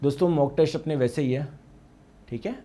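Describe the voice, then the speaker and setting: A male teacher with a high voice and a hoarse throat, giving a lively science lecture. He poses questions and answers them himself, and he gives every key term twice, once in Hindi and once in English. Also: brisk. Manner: asks and answers his own questions; addresses students as friends. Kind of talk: online exam-prep class.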